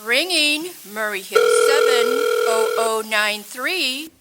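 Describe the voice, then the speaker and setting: A woman playing a polite, formal telephone operator in an old-time radio drama, reciting stock phrases in a measured way. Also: fairly high pitch, lively intonation, very noisy.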